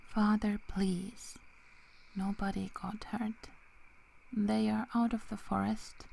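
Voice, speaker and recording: A woman playing a loyal, protective young werewolf in a whispered ASMR roleplay, speaking softly and haltingly. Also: mid-range voice, flat delivery, vocal fry, heavily acted. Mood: pleading. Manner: soft, halting, breaking into whispers.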